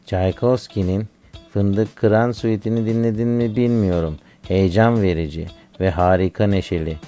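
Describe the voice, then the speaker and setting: A man's voice: on the low side, steady tone, normal speed, warm tone, soft background music, lightly acted. A man voicing an earnest schoolboy pupil who recites facts in a scripted educational cartoon. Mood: earnest, informative.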